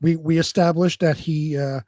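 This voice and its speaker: Male co-host, slightly high register, relaxed conversational style, dry close recording. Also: normal speed, no room sound. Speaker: co-host of a live online show. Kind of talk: casual conversational chat.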